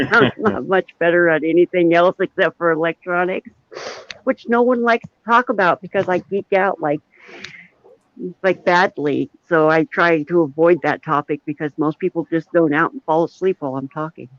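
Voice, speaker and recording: A man's voice: on the high side, moderate pace, a bit bright. A middle-aged man chatting casually on a live show in a self-deprecating, rambling way. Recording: dull lo-fi audio with roomy echo.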